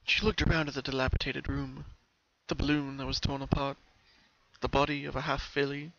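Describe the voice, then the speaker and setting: A man gives a scripted dramatic reading as a story narrator. His voice sits at a middle pitch with a bright, forward tone. The sound is a little dull, like a home recording. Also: level tone, brisk, gravelly, slight background noise.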